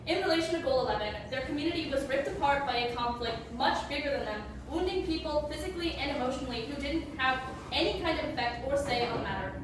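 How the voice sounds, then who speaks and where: A teenage girl giving a school presentation as a student, reading prepared lines with informal asides. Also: sits high. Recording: very echoey room, distant mic, clear noise.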